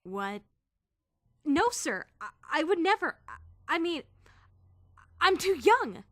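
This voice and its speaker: A woman's voice: high-pitched, very animated, slow pace, no echo, full character voice. A woman playing a sarcastic, easily flustered teenage girl trainee in a visual novel dub, narrating her thoughts and stammering when nervous. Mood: flustered panic. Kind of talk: first-person visual novel narration and dialogue. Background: music.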